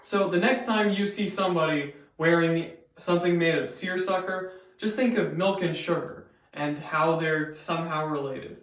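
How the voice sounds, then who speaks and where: A man's voice: fairly high pitch, moderate pace, hardly any pauses, a bit bright. A young man, raised speaking English and Persian, presents a scripted educational video in an earnest, explanatory way with occasional fillers. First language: bilingual, raised speaking English and Persian. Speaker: student presenter. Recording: roomy echo, mic at a distance.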